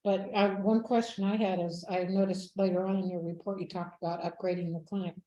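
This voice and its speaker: A woman's voice: lowish voice, little pitch movement, a little fast, dark tone, dull audio. A woman with a Texas accent asking friendly, rambling questions at a board meeting. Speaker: board member. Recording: echoey meeting room.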